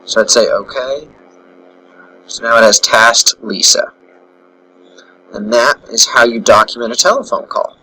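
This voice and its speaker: A man's voice: medium pitch, unhurried, long pauses, rough recording. A man narrating a casual, step-by-step screen tutorial as an instructor, thinking aloud as he demonstrates. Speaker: instructor, software trainer. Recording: bright recording with faint background noise.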